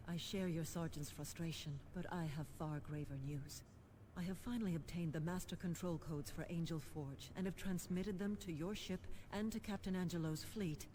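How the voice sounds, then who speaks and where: A woman playing a composed, determined government administrator in a sci-fi video game, formal and crisp with dry irony. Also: lowish voice, normal speed, mellow, a little hiss or hum, natural voice. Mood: grave, urgent. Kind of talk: scripted video game acting.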